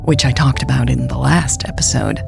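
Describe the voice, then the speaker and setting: Woman, podcast story narrator, low voice, creaky fry, intimate, scripted delivery. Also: moderate pace, over music.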